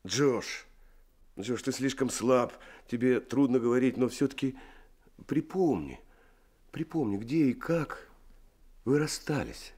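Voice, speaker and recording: A man playing a kind, calm friend who nurses and reassures a sick young man in a radio play. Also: mid-range voice, slow pace, pauses often, husky. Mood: soothing. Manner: soothing, warmly reassuring. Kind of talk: Soviet radio drama acting.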